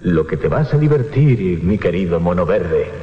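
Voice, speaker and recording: Un actor de radioteatro de terror interpreta a un capitán de barco codicioso, burlón y teatral. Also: male voice, low voice, very animated, moderate pace, faint music behind. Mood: scornful, gloating. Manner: theatrical, talks aloud to himself. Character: gruff mocking sea captain, greedy, sliding into terror. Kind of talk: radio horror drama acting. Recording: dull 1980s radio recording.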